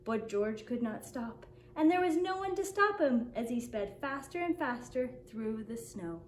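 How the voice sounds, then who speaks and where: A woman with a high voice reads a picture book aloud for young children in a roomy, echoing space. She tells it expressively and deliberately, taking her time like a kindergarten teacher at story time, with faint noise behind her. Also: lively intonation.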